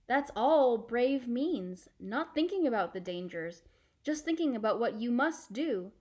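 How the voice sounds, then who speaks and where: A woman playing a calm, reassuring fisherman uncle in a storybook, with a measured, explanatory manner. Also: on the high side, voice pushed up.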